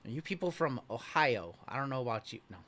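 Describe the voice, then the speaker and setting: A man's voice: mid-range voice, animated, fast, fairly bright tone, slight background noise. A man hosting a live card break, chatting casually and reading the chat aloud with a joking manner. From Iowa. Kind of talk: casual live-stream chat while opening cards.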